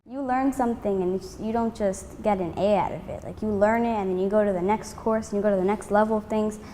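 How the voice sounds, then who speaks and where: A woman's voice: mid-range voice, fast, dark tone. Casting: earnest student girl, mid voice, long reverb, faint hiss. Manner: earnest, repetitive with "like". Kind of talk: interview answer.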